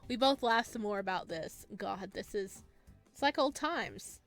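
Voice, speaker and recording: A woman's voice: medium pitch, lively intonation, unhurried, fairly bright tone. A woman playing a visual novel, reading it aloud and reacting in a chatty, informal way as she acts out the lines. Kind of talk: casual let's play, reading dialogue aloud with commentary. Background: faint music.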